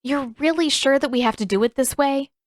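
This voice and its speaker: A nosy young girl from a fantasy story, acted out by a woman reader, speaks in a raised, girlish pitch. She is cheeky and blunt, needling and persistent, with a sing-song teasing lilt. The sound is close and dry. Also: brisk, no echo, close to an everyday voice. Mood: skeptical.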